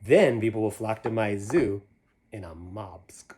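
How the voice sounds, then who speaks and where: A man's voice: fairly low pitch, expressive, a little slow, warm tone, a little hiss or hum. A man reading a rhyming children's storybook aloud in a playful, theatrical way, dropping in conversational asides to listeners. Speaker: storybook reader.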